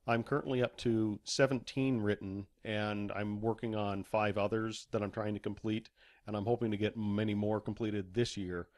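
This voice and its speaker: A man's voice: lowish voice, fairly even intonation, normal speed, a little mellow. A man speaking casually as a podcast guest, a writer and voice actor telling anecdotes. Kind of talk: casual anecdotal interview chat.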